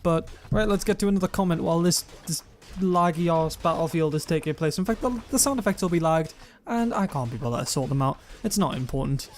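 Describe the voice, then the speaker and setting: A young man with a self-described monotone voice, rambling through gameplay commentary and viewer comments, often correcting himself mid-sentence. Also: a little high, fairly quick, few pauses. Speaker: gaming YouTuber.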